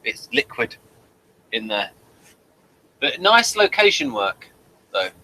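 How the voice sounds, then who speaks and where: Bright, lively-pitched man hosting a chat. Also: slow, rough recording. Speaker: host of review show. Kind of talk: casual commentary over images. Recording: faint background noise.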